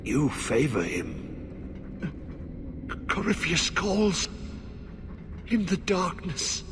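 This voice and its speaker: An actor playing a half-mad, corrupted old warden in a video game, haunted and cryptic, speaking in halting fragments. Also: male voice, on the high side, wildly swooping pitch, background noise, heavily acted. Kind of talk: video game cutscene acting.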